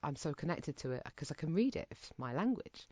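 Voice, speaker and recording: Low-voiced woman interviewer, dry sound. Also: very animated, a little fast, darkish tone, no room sound. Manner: thoughtful, talks through ideas at length. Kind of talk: casual interview chat.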